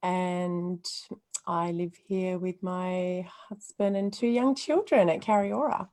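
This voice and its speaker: A woman from Western Australia, a guest on a live video call from home, soft-spoken and thoughtful, pausing to find her words. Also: fairly low pitch, fairly even intonation. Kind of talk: casual spiritual chat on a live video call.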